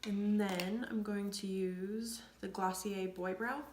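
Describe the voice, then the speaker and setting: A young woman coming down with a cold, chatting through a makeup tutorial in a rambling way, often dropping to soft near-whispers. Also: mid-range voice, steady tone, slow pace, slight background noise. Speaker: beauty vlogger. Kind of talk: casual makeup tutorial chat. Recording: echoey room.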